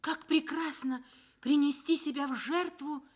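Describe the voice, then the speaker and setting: Dreamy sentimental young friend, high voice, soviet radio play. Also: female voice, fairly even intonation, a little slow. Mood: wistful. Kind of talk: Soviet radio drama acting.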